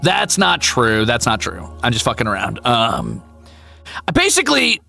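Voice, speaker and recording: A man streams casually over soft background music. His voice is bright and forceful with plenty of gravelly fry. He talks candidly and playfully, swearing and mocking himself as he answers viewers. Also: medium pitch, wildly swooping pitch, measured pace. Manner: candid, playful, profane, self-deprecating. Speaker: VTuber streamer and voice actor.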